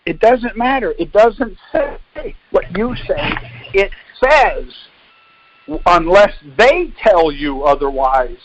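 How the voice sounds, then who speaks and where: A man's voice: sits high, sing-song, slow pace, lo-fi sound, slight background noise. A man leading a call, explaining and debating in an insistent, corrective way with pointed questions. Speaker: call leader and instructor.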